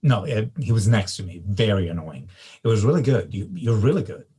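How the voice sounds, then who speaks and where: An actor at a table read playing a shy, gentle young student in a hesitant, understated way. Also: male voice, on the low side, animated, fairly quick, husky. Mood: hesitant, friendly. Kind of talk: screenplay table read, naturalistic dialogue.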